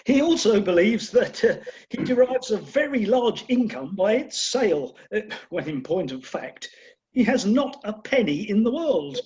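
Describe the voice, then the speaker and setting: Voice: mid-range voice, sing-song, unhurried, a little mellow. A performer playing a polite, hesitant character in an amateur comic radio play over a muffled video call. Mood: politely confiding. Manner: hesitant, courteous. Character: polite, hesitant relative consulting the doctors.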